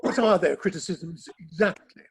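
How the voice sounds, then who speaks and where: A man playing a dry, mildly ironic Victorian gentleman in a dramatic play reading, formal and understated, with a husky voice. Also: sits high, normal speed, exaggerated voice. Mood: concerned.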